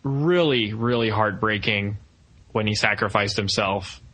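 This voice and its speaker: Male podcast co-host, bright, forceful voice, casual and jokey review banter. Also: lowish voice, measured pace. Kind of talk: casual film review chat.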